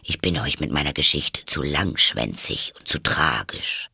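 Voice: very deep voice, lively intonation, measured pace, gravelly, bright, forceful tone, husky, no echo, studio quality, voice pushed down, heavily acted. A reader voicing a touchy, stern, melancholy little female mouse from a storybook, sighing and indignant. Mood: doleful sighing.

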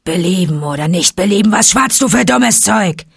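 A woman's voice: medium pitch, lively intonation, normal speed, vocal fry, slightly rough, no room sound, studio quality. A woman reading a storybook and voicing a bullying male tiger who roars his threats. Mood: scornful. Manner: roaring, bullying. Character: menacing boastful male tiger.

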